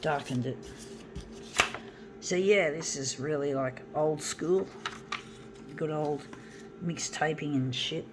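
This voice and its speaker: Rambling woman with a low voice. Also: slow pace, long pauses, noisy background. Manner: rambling, many fillers and restarts. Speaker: zine and mixtape maker. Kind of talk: casual reminiscing while working.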